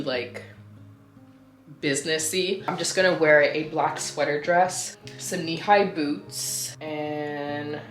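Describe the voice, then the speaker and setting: A woman's voice: low voice, fairly bright tone, reverberant. A young woman vlogging casually to camera, chatty and rambling, with a sore throat that makes her sound slightly nasal. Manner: chatty, rambling, self-correcting. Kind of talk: casual vlog chat to camera.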